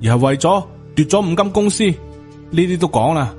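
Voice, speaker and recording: A man's voice: a little high, expressive, brisk, a little mellow, voice pushed up, natural voice. Resentful young male disciple played by a male narrator, cold accusing manner, Hong Kong Cantonese accent, audiobook drama. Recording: music under the voice.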